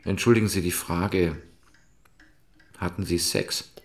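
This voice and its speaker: An actor playing a sceptical private detective, polite but probing, in a German radio drama. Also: male voice, deep voice, fairly even intonation, a little slow.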